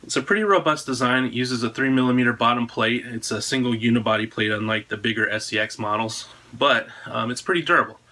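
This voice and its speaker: Bright-voiced American man, casual vlog talk. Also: medium pitch, fast, few pauses. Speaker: drone frame designer presenting own video. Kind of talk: casual product announcement to camera. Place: indoor hobby room at home.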